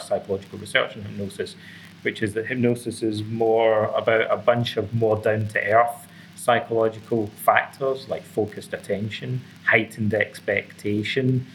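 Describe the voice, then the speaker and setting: A middle-aged Scottish man giving explanatory, academically phrased answers as an interview guest. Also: on the low side. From Scotland. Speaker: interview guest, author and psychotherapist. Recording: clearly noisy background ambience.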